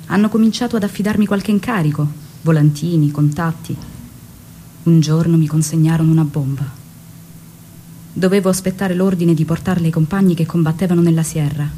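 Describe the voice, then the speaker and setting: An actress plays an ardent woman revolutionary looking back on her youth in a theatrical monologue. Her voice is mellow and she tells it in a measured, reflective way. There is faint noise in the recording. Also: deep voice, close to an everyday voice. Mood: earnest recollection. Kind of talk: dramatic stage monologue.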